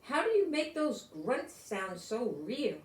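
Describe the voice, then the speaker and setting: A woman's voice: on the high side, very animated, slow pace, pitched above the performer's own voice. A woman voicing eager, friendly schoolchildren in a storybook, speaking in earnest, simple declarations. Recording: roomy echo, distant microphone.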